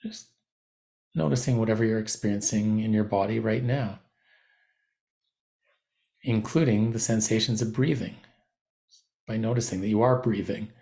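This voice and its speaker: Guy teaching meditation, lowish gravelly voice, gentle and unhurried, pauses a lot mid sentence. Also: fairly even intonation. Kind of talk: guided body scan meditation.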